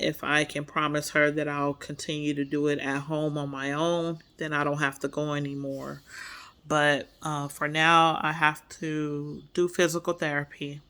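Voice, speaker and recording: A woman giving a casual personal update, congested and hesitant, pausing often with ums. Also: deep voice. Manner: soft-spoken, hesitant with many ums. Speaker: vlogger.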